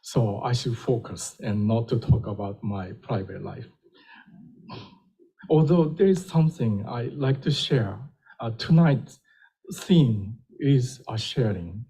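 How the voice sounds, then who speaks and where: An older professor from Japan, giving a public lecture in a slow, gentle, wry way with small hesitations. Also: male voice, mid-range voice, animated, slightly rough, low-quality recording.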